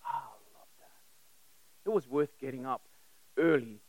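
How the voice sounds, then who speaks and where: A man's voice: medium pitch, lively intonation, measured pace, darkish tone, no room sound, low-quality recording. A man who grew up in South Africa preaching a sermon in a conversational, emphatic way, with direct questions, repeated phrases and personal stories. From South Africa, born in England.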